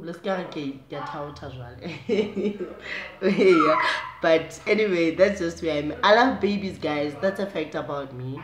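A young South African woman with a low voice, chatting casually to the camera in a small room at home, animated and chatty. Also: background noise. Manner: chatty, animated, gestures while talking. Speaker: vlogger. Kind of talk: casual direct-to-camera chat. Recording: echoey room.

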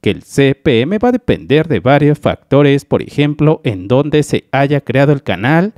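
Man from Mexico, explainer voice-over; animated pitch, dry close sound, methodical walkthrough. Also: measured pace, no room sound. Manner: methodical, addresses viewers as dear friends. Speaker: YouTube channel analyst. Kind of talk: screen-recorded explainer voice-over.

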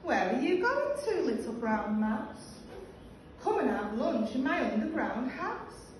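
Woman playing hungry male fox, coaxing, exaggerated, high-pitched, distant mic. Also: sing-song, takes it slowly, heavily acted. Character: sly, hungry male fox, easily scared. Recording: roomy, distant classroom recording, faint noise.

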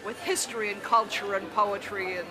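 A woman giving an earnest, deliberate interview answer as a fan. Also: mid-range voice, fairly even intonation. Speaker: convention fan. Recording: noisy convention hall.

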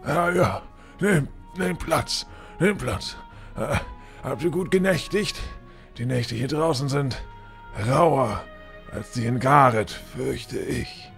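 A man playing a menacing, condescending castle lord with halting false courtesy in a fantasy audio drama. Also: mid-range voice, creaky, a bit bright, faint music behind. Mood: falsely hospitable. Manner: halting, drawling false courtesy with threatening undertone. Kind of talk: fan-made fantasy audio drama acting.

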